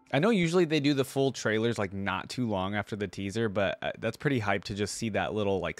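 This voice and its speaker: A man hosting a reaction video, giving casual commentary with short, impressed remarks. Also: lowish voice.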